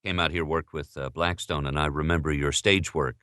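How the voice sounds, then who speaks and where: A man's voice: rumbling low, fairly even intonation, brisk, sharp tone, dry recording, studio quality. A man with an American accent asking questions as an interviewer in a conversational style.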